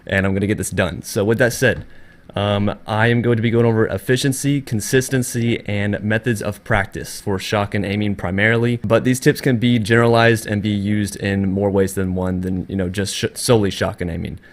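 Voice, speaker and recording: A man's voice: fairly low pitch, steady tone, fairly quick. Congested man explaining a game tutorial. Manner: earnest, explanatory, circles back to restate points. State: congested, slightly scratchy voice from a cold. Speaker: gaming tutorial host. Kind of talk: casual spoken tutorial.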